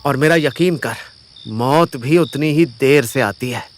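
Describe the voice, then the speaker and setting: Casting: male actor as injured, world-weary young fighter, pained yet defiant, deliberate slow speech, music and insects behind. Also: medium pitch, natural voice. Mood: grave, regretful. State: in pain, labored. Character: wounded, defiant, world-weary young man facing death. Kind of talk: Hindi audio drama acting.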